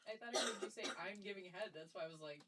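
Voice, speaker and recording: A woman's voice: medium pitch, very fast, fairly bright tone. A woman co-hosting a podcast, making crude jokes in casual reaction commentary. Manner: crude, joking. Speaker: podcast co-host.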